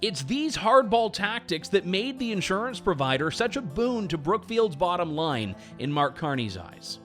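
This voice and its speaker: A man's voice: high-pitched, sing-song, moderate pace, a bit bright, faint music behind. A man narrating a documentary in a scripted, pointed, accusatory delivery.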